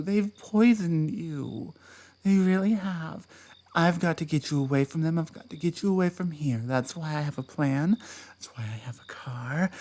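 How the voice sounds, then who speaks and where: A woman voicing an obsessive, possessive ex-partner in an audio roleplay, speaking softly and dropping into whispers before flaring up. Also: deep voice. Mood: unhinged. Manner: soft-spoken, drops into whispers, flares up. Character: obsessive possessive ex-partner, just released, menacing.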